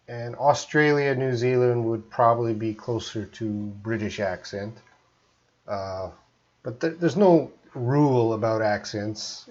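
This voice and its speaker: A man in his forties from Canada, with a faint Canadian accent, teaches English on a live stream. He talks in a chatty, easygoing way at a middle pitch and reads out his viewers' comments. The audio sounds slightly dull. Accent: mild Canadian.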